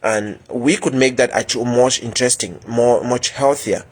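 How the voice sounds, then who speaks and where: A man's voice: medium pitch, steady tone, normal speed. A middle-aged man, a medical instructor, giving a health talk with many fillers and repeated words. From Cameroon.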